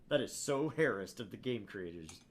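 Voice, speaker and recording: Low-voiced man reading a game aloud, noisy background. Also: animated, a little slow. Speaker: gamer reading a visual novel aloud. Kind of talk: dramatic read-aloud of narration, with casual asides. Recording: clear background noise.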